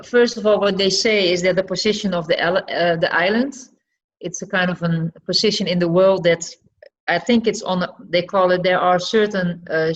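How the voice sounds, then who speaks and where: Low-voiced middle-aged Dutch woman, slightly muffled. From Friesland, northern Netherlands. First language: West Frisian. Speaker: interview guest, artist. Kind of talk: conversational personal storytelling in an interview. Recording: slightly dull, lo-fi podcast audio.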